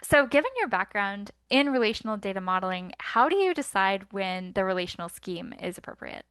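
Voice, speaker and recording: Bright, enthusiastic woman host. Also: mid-range voice, expressive, smooth, no echo. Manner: enthusiastic, quick affirmations. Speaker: podcast host. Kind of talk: upbeat interview hosting.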